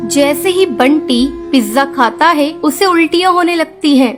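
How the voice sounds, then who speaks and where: A woman's voice: sits high, animated, moderate pace, over music. A woman narrator reading a scripted children's cartoon story.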